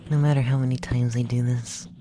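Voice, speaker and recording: A man playing a curious, condescending alien abductor in an ASMR roleplay, murmuring softly and half-whispering close to the microphone. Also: medium pitch, level tone, background noise, natural voice. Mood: puzzled, musing. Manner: slow, hushed, half-whispered murmuring with musing pauses. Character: soft-spoken alien abductor, curious, condescending, flustered young male.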